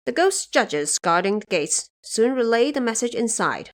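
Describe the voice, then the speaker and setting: A woman's voice: a little high, sing-song, moderate pace. A woman reading scripted narration as the storyteller of an audio drama.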